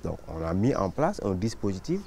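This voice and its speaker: Guy with a lowish voice explaining project work for a documentary, bit of background noise. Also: big pitch swings, moderate pace, creaky, darkish tone.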